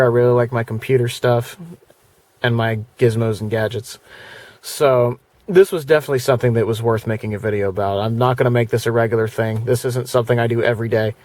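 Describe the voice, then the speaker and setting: Male vlog reviewer, medium pitch, conversational and unpolished rambling delivery, very dry close sound. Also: a little mellow, no echo. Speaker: video presenter. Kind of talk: casual product review vlog.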